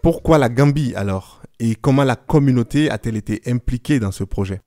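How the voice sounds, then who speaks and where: A man hosting a podcast, asking interview questions calmly and evenly. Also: mid-range voice, brisk, no echo, studio quality, faint music behind. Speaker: podcast host.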